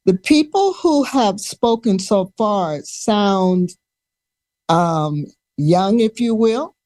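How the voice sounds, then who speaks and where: A woman calls in with a question and speaks slowly and deliberately. Her intonation still rises and falls a lot. The sound is dry and close. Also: medium pitch, expressive, a little mellow, no room sound. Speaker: caller. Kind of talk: asking a question on a call-in.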